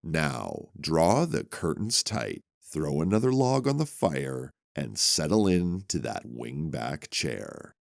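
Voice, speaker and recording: A man narrating a horror tabletop show in a dramatic, deliberate manner. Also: very deep voice, big pitch swings, creaky, no echo, clean studio sound. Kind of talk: scripted horror narration and game talk.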